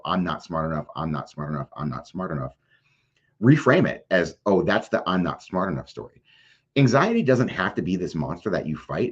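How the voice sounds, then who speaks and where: Very deep, husky man explaining things. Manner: plain-spoken, explanatory, peppered with "like" and "you know". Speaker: podcast guest, mental health advocate. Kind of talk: conversational advice in an interview. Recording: dull, lo-fi sound.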